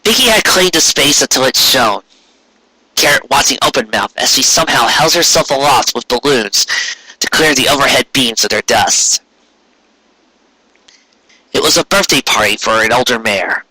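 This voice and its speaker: Forceful, husky male storyteller. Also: medium pitch, expressive, normal speed, long pauses, no echo, rough recording. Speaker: story narrator. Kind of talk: scripted fan fiction storytelling.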